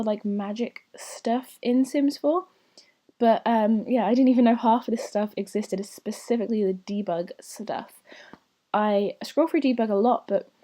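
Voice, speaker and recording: Woman for a casual gaming voiceover, a bit croaky, warm, rambling. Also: fairly high pitch. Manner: chatty and rambling, with soft whispery asides. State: slightly croaky, recovering from lost voice. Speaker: gaming channel host. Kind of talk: casual voiceover commentary over gameplay.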